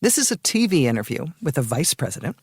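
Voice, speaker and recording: A man's voice: mid-range voice, big pitch swings, quick pace, gravelly, breathy edge, dry recording, clean studio sound. A man giving a dramatic explanatory introduction as commentary for a podcast.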